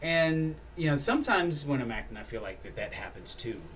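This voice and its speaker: Male actor guest, animated storyteller, sits a little back from the mic with faint room noise. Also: a little high, a little fast, far from the mic. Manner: animated storyteller, laughs often. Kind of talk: casual chat.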